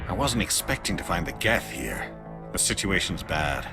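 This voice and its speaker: A grave alien military agent in a video game. The voice is lowish and gravelly, guarded yet quite varied in pitch, with prominent music behind it. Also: male voice, sing-song, moderate pace, full character voice. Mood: guarded surprise. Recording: loud music behind dialogue.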